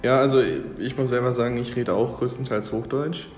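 A man's voice: medium pitch, steady tone, fairly quick. Man, hesitant casual talker, reverberant room, faint music. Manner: hesitant, repeats words and fillers. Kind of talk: casual discussion.

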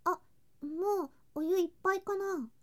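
A woman voicing a sweet, affectionate younger girl cousin in a Japanese ASMR situation drama, in a cutesy, clingy manner with soft whispery touches. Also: very high voice, normal speed. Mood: cheerful.

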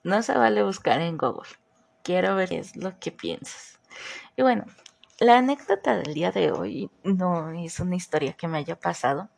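A Mexican woman with a mixed Mexico City, Morelos and northern accent hosts a podcast at an unhurried pace. Her voice is deep-set, gravelly and husky. She chats easily and keeps asking the listener questions. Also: animated, a little mellow. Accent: Mexican Spanish, mixed Mexico City, Morelos and northern. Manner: chatty, asks listeners questions. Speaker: podcast host. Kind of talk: casual podcast monologue.

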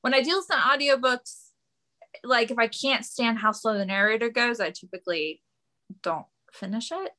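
Casting: female podcast guest, high-ish bright voice, plain casual conversation. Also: moderate pace. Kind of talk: casual chat.

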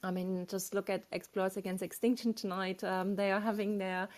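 A woman's voice: mid-range voice, flat delivery, brisk, a little mellow. Effusive woman moderating a panel. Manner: effusive, praising. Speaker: chat moderator. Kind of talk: online panel chat.

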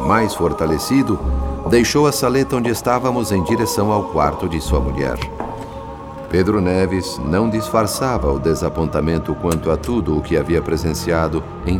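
Male drama narrator: low register, literary, solemn scripted read over a clear music bed.

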